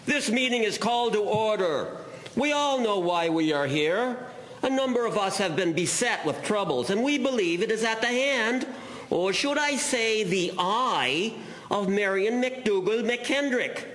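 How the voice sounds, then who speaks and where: A man's voice: high-pitched, lively intonation, unhurried, fairly bright tone. A man playing a flustered, pompous, indignant villager in a historical drama. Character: blustering, indignant Irish villager leading a meeting. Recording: echoey room with faint noise.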